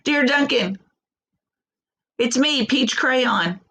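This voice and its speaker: A woman's voice: sits high, very slow, a bit bright, husky, pitched above the performer's own voice, exaggerated voice. A woman voicing an embarrassed crayon in a storybook, plaintive and pleading.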